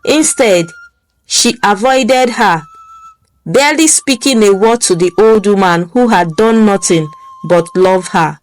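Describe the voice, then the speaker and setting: Slow storyteller woman. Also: medium pitch, rough recording. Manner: slow, deliberate reading. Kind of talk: scripted story narration.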